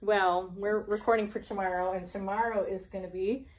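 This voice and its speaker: A woman's voice: mid-range voice, steady tone, moderate pace, rough recording. A woman, chatting casually and reading aloud for preschoolers, asking simple, encouraging questions. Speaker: preschool devotion host. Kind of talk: casual chat and reading aloud for young children. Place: small classroom, indoors.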